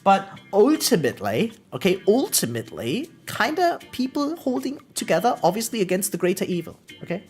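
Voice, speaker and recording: A woman game streamer chatting casually and opinionatedly on a live stream, swearing freely and often checking in with her listeners. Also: on the low side. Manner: animated, opinionated venting with rhetorical check-ins.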